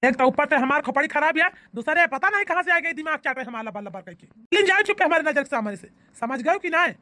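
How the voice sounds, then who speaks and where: A man's voice: extremely high pitch, lively intonation, quick pace, pushed and bright, exaggerated voice. A man voicing an irritable, grumbling elder brother from a village comedy cartoon, with an emphatic delivery and an Awadhi accent. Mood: irritated.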